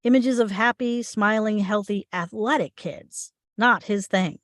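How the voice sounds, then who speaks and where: A woman's voice: medium pitch, unhurried, no echo, studio quality. A woman reading screenplay stage directions aloud as the narrator of a table read.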